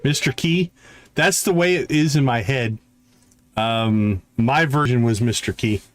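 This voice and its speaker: A man hosting a game livestream with casual, unhurried commentary, thinking aloud as he plays. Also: mid-range voice. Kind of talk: casual live gameplay commentary. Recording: faint background noise with clicks.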